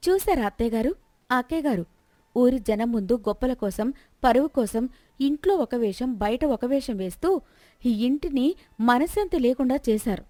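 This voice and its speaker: కథకురాలు నటించే హెచ్చు స్వరపు తెలివైన చిన్న కోడలు. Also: female voice, a little high, lively intonation, normal speed, warm tone, no room sound, lightly acted. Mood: grave. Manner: earnest, firm. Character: clever, earnest young daughter-in-law, firm and sensible.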